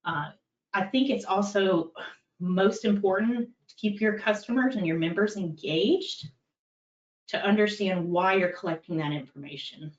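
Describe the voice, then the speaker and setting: A woman's voice: medium pitch, unhurried. A middle-aged woman in a compliance role giving a candid, practical talk, with many fillers. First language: English. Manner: conversational, practical, with frequent fillers. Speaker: compliance executive presenting. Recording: far-off echoey dull-sounding room.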